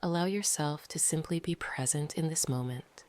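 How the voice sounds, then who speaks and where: A gentle, breathy, often whispering meditation guide speaking slowly and calmly, with long pauses between phrases. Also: medium pitch. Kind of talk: slow, soothing guided meditation narration. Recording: noisy, ambient sound behind the voice.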